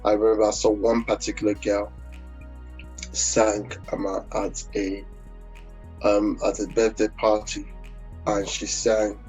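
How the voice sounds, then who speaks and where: A Nigerian man, a gospel singer, talking in a relaxed interview, rambling with many fillers and restarts. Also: lowish voice, a little slow, long pauses. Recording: dull lo-fi audio with faint music.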